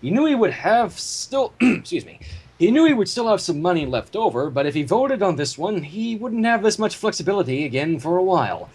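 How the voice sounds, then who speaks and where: A man's voice: sits high, sing-song, fairly quick, darkish tone, slightly rough. A man reading fan fiction aloud as narrator, animatedly, with casual asides. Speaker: story reader.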